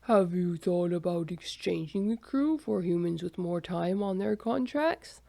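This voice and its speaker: A woman voicing a methodical male alien official who questions slowly and carefully. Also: lowish voice, lively intonation, gravelly, warm tone, full character voice. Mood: probing. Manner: slow, careful, probing questions.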